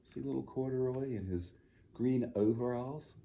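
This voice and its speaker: A man who is a pastor hosting storytime, talking and reading to children in simple, unhurried phrases with questions to young listeners. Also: medium pitch, gravelly, mellow, a little hiss or hum. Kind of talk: gentle storytime talk and picture-book reading for children.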